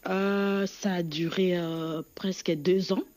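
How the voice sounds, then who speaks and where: A woman in her thirties from the Democratic Republic of the Congo, calling in to a radio show to give a personal testimony in a soft, halting way with frequent pauses. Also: fairly low pitch, steady tone, no room sound. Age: 30s. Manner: halting, soft-spoken, pauses often with fillers. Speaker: caller.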